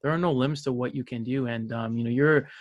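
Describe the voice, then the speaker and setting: A man's voice: mid-range voice, steady tone, fast, no room sound, highs cut off. A man hosting an interview show, chatting casually in an enthusiastic, complimentary and affirming way. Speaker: podcast host.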